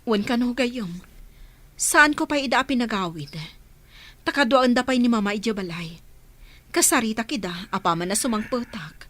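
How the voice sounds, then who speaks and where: An actress playing a concerned, brisk and kind businesswoman in an Ilocano radio drama. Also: on the high side, expressive, a bit bright, slight background noise. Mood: businesslike. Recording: music under the voice.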